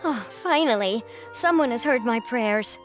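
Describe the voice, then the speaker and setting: A woman playing an earnest, superstitious, excitable association representative in an anime-style video game, with a dramatic storytelling manner. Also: fairly high pitch, unhurried, soft background music. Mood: relieved, earnest.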